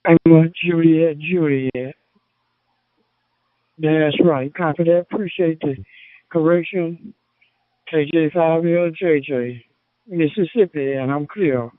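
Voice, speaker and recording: Male ham operator, fairly high voice, formulaic, repeated phrases, phone-like radio sound. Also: slow pace, long pauses, no echo. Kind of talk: ham radio contact, clipped sign-offs. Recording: dry, tinny phone-like radio transmission.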